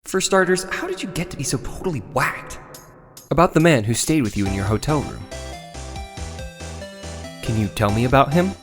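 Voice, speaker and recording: A man's voice: medium pitch, lively intonation, normal speed, pauses often, faint music behind, lightly acted. An actor voicing an exasperated but polite young male defence lawyer from a video game, with hesitant, sardonic asides. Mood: probing. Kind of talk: dramatized video game dialogue.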